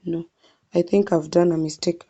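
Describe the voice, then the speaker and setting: A woman's voice: fairly low pitch, fairly even intonation, moderate pace, warm tone, slightly rough, highs cut off. A young Kenyan woman walking viewers through an online sign-up form, thinking aloud and calling them guys. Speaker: tutorial vlogger. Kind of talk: screen-recorded walkthrough.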